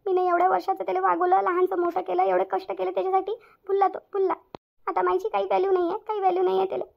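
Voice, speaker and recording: A woman's voice: very high voice, monotone, racing, creaky, heavily acted. A woman voicing a stern, self-pitying middle-aged mother-in-law in a cartoon family drama, nagging with repeated questions in a Vidarbha Marathi accent. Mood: tearful, wounded. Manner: nagging, repetitive rhetorical questions. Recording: dull lo-fi audio.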